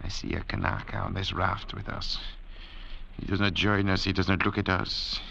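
A man acting in a 1940s radio drama as a cold, haughty French doctor and murderer, speaking in a measured, oratorical way on an old radio recording. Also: sits low, little pitch movement, gravelly. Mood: brooding. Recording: dull, noisy vintage radio recording.

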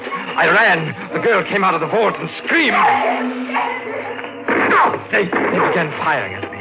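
An actor playing a deranged, menacing killer in a 1940s radio mystery, halting and melodramatic, repeating his words. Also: fairly high pitch, vocal fry. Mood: frantic. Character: deranged male killer, confessing, brooding and menacing. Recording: muffled old radio broadcast with music.

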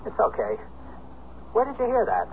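An actor playing an awkward, stubborn husband in a 1970s radio comedy drama. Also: male voice, very high voice, wildly swooping pitch, moderate pace, heavily acted. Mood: awkward, probing. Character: flustered, stubborn husband newly split from his wife. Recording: dull, noisy 1970s radio broadcast.

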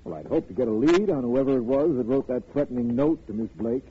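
An actor in a 1950s radio drama playing a matter-of-fact district attorney who is brisk, formal and a little impatient. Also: male voice, medium pitch, animated, close to an everyday voice. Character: businesslike, impatient district attorney, the detective's sidekick. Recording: dull, faintly noisy old radio recording.

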